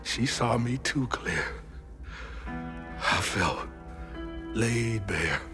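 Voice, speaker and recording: An actor playing a grieving, guilt-ridden man with a Southern US accent, speaking haltingly in a video game cutscene. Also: medium pitch, lively intonation, over music. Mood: ashamed, regretful. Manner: halting, heavy, confessional. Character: grieving, haunted man burdened by guilt and shame.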